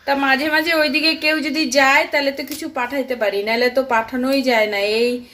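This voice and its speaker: An affectionate, homely mother played by a woman. She talks in an unhurried, gently questioning way, as in an everyday phone chat with her grown son. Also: high-pitched, steady tone. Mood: caring, chatty. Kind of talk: staged phone-call drama, casual domestic chat.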